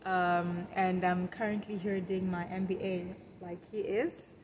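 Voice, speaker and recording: A young woman tells stories in a relaxed, easy way and laughs often between her sentences. Her voice sits a little low for a woman. Faint ambient noise runs behind her. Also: measured pace. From Zimbabwe. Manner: warm, laughs easily, relaxed storytelling. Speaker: guest. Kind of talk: conversational interview.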